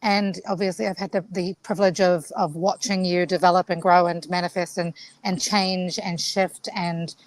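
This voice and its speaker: A woman from New Zealand, a keynote speaker, telling stories in an animated, expressive way as she asks a question. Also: on the low side, moderate pace, few pauses. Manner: animated, expressive storyteller, many restarts. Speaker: guest co-host, keynote speaker. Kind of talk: conversational interview.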